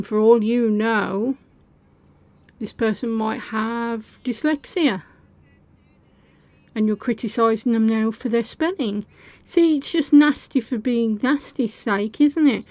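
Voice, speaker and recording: A woman's voice: a little high, darkish tone, no echo. A woman hosting reaction commentary, talking in a sarcastic, scolding way. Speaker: commentary host.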